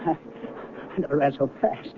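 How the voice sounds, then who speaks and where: A thin, faintly hissy old radio drama recording carries a man acting a bewildered, cynical scientist. His voice is fairly high for a man and stammers with agitation as he begs for answers. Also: measured pace, phone-quality sound, natural voice. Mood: flustered.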